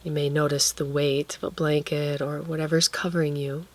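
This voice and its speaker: A woman guiding a relaxation meditation, speaking gently and leaving long pauses between phrases. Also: low voice, little pitch movement. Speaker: guided meditation teacher.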